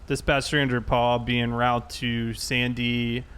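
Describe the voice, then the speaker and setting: A man's voice: mid-range voice, level tone, slow, a bit bright. A man playing an easygoing, matter-of-fact police officer in an online game roleplay, casual and procedural. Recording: game voice chat with faint noise.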